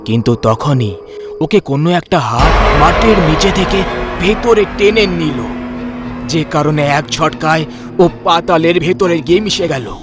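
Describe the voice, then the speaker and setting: Slightly high-voiced man narrating horror. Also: lively intonation, a little slow, music in the background. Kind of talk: scripted horror story narration.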